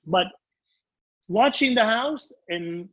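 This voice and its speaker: High-pitched husky man, animated storyteller. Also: slow pace. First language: Arabic. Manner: emphatic, self-deprecating, repeats phrases. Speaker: band leader and singer recounting his career. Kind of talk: animated anecdotal storytelling in conversation. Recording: dull, muffled lo-fi sound.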